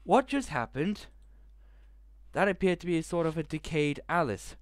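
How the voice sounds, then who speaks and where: A young man with a headset mic in a small bedroom, reading a visual novel aloud in a hushed voice and breaking into loud reactions. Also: fairly high pitch, expressive, normal speed, no echo. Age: young adult. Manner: reads narration in a hushed tone, reacts with loud outbursts. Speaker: gaming video host.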